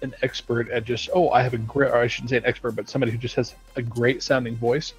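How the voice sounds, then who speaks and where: A man's voice: medium pitch, little pitch movement, fast, breathy edge, faint music behind. Talkative man explaining at length. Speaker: game studio team member on stream. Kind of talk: casual stream chat.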